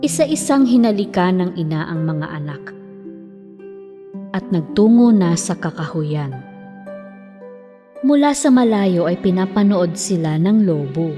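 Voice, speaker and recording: Isang babaeng nagsasalaysay ng nakasulat na kuwentong pambata, may alingawngaw at mahinang musika sa likod. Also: female voice, fairly low pitch, animated, measured pace, pauses often, warm tone. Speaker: storyteller. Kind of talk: scripted children's fairy tale narration. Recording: long reverb, faint music behind.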